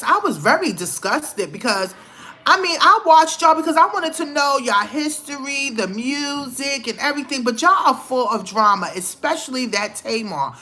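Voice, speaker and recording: A woman's voice: on the high side, bright, forceful tone. A woman giving blunt, scolding celebrity gossip commentary in a vlog, with emphatic outbursts. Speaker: vlogger.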